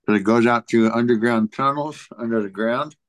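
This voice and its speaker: A man co-hosting a casual talk show, reading out a list in a halting way and searching for his place. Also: medium pitch, no room sound. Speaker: podcast co-host presenting a top ten list.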